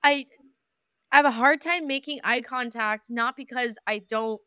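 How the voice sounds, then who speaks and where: Bright, smooth-voiced chatty woman host. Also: fairly high pitch, moderate pace, no room sound, studio quality. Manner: enthusiastic, chatty, fillers like "literally". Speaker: podcast host. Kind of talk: casual podcast conversation.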